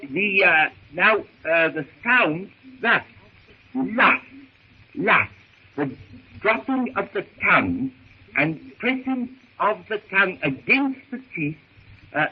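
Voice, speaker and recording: An actor playing a fussy, bumbling old professor in a 1930s radio serial, stammering through pompous, formal phrases. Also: male voice, high-pitched. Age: older. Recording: muffled, hissy 1930s radio recording.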